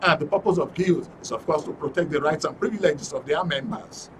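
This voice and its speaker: A Nigerian man hosting a live studio panel show, introducing things haltingly and repeating phrases. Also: on the high side. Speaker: radio and TV programme host. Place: radio and TV studio. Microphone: studio microphone. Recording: faint noise, distant studio mic.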